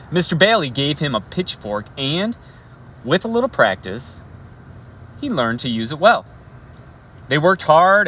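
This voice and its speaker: A man reads a storybook aloud with expression, pausing for asides and questions to his listeners, recorded outdoors on a live feed. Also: medium pitch, bright, forceful tone, a little hiss or hum. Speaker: elementary school educator reading a bedtime story. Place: outdoors on a school roof at night.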